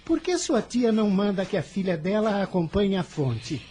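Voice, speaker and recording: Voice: medium pitch, big pitch swings, warm tone, a little hiss or hum, natural voice. An actor voicing an earnest, kind fifteen-year-old boy in a radio drama, speaking in a solemn, formal way. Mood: reassuring, determined. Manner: solemn, measured, formal diction.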